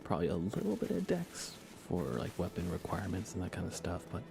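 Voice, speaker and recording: A man doing relaxed ASMR game commentary, casually rambling and thinking aloud as he plays. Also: deep voice, fast, creaky. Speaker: livestreamer.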